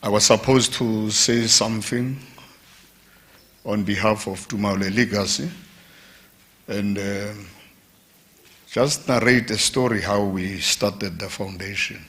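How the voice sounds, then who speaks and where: A man from giving a formal speech at an event, measured and pausing. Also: on the low side, a bit bright. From South Africa. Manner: slow, measured, with pauses and fillers. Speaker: foundation representative. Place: conference hall.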